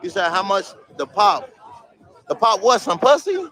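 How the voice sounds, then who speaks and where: Bright, high-voiced young man streaming in slang. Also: expressive, moderate pace. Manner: loose street slang, swearing, laughing at chat. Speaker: livestreamer. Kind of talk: casual livestream chat reading viewer comments.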